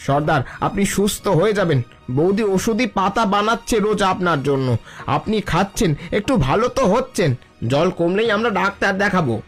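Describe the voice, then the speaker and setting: A man's voice: high voice, lively intonation, fairly quick, soft background music. An actor playing a loyal, humble bandit henchman in a cartoon, with an earnest and respectful manner. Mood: concerned. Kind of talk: Bengali cartoon story dialogue.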